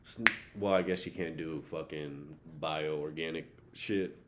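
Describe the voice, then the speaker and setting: A young man with a very deep voice co-hosts a podcast. He talks casually, peppering his speech with slang and profanity. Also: fairly even intonation, measured pace. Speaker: podcast co-host.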